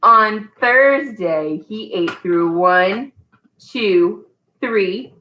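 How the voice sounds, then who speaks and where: Woman, storytime teacher, very lively intonation, unhurried pace, emphatic child-directed reading, roomy indoor sound. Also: medium pitch, slight background noise. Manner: animated, emphatic, addressing young children. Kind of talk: children's read-aloud and singalong. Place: indoors at home. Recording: echoey room.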